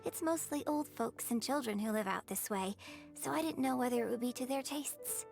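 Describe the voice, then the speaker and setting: A gracious young stage performer in a video game. Her voice is high, and she phrases everything politely and with composure. Faint music plays behind her. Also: moderate pace, creaky, a little mellow. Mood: gracious, pleased. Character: poised, gracious young female opera performer.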